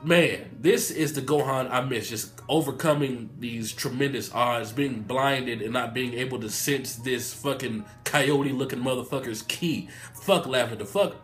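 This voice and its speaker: A man who has a cold and sounds nasal, giving a hyped, profane, rambling review of an anime episode. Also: mid-range voice. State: sick, nasal. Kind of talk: excited casual review vlog.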